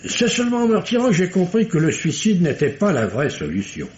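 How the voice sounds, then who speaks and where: An actor playing a solemn, wordy male doctor in a vintage radio mystery, with pompous, deliberate, literary delivery. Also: high-pitched, wildly swooping pitch, darkish tone. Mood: grave, precise. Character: formal, verbose, solemn male country doctor. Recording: dull, noisy old radio recording.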